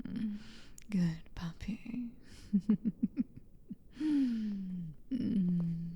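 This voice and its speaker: A woman's voice: mid-range voice, mellow, heavily acted. A woman whispering as a possessive British vampire mistress. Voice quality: breathy whisper. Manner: slow, intimate, teasing and controlling. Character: possessive centuries-old female vampire noblewoman. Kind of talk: whispered ASMR roleplay. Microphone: close microphone.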